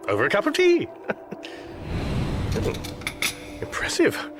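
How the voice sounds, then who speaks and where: A man's voice: extremely high pitch, wildly swooping pitch, slow, over music, full character voice. An actor playing an anxious, bewildered Englishman adrift in space in a radio comedy, dryly and politely exasperated, with an English accent. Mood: awkwardly polite. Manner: flustered, dry, politely exasperated. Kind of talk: radio comedy drama acting.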